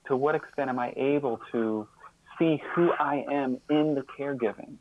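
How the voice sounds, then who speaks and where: A man speaking as an interview guest, explaining his ideas in a reflective, earnest way, often halting and repeating words. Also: mid-range voice, a little hiss or hum. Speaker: interview guest and author on caregiving. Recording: slightly phone-like, band-limited line.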